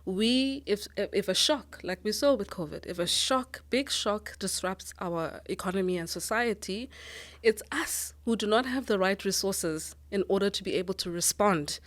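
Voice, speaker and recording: A woman's voice: medium pitch, normal speed. Earnest woman from Lesotho. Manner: earnest, thoughtful, with frequent ums and restarts. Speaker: guest, managing director. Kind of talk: interview answers.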